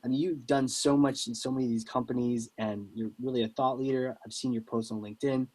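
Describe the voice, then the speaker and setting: A man's voice: medium pitch, fairly even intonation, fast talker, darkish tone, husky, low-quality recording. A man hosting an interview podcast in a casual, chatty style.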